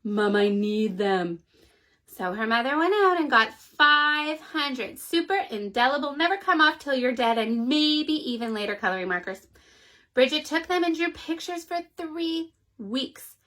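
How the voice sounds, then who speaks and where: High, bright-voiced woman reading to kids. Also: moderate pace. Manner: friendly, expressive reading. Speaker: storyteller reading to children. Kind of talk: children's story read-aloud. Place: child's bedroom at home.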